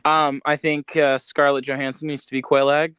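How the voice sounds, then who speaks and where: A man's voice: a little high, flat delivery, normal speed, a bit bright, smooth, no echo. A man co-hosting a podcast, talking in an informal, slangy way. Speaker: podcast co-host. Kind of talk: casual chat.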